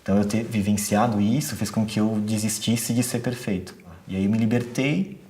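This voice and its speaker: A man's voice: lowish voice, fairly even intonation, normal speed, darkish tone. A young Brazilian man, an entrepreneur, speaking thoughtfully and earnestly in a documentary interview. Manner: thoughtful, earnest, many rhetorical questions.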